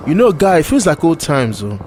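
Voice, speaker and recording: A man's voice: fairly high pitch, very animated, brisk, a little mellow, faint music behind. An actor playing a friendly male field agent, a casual and chatty comrade. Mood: nostalgic.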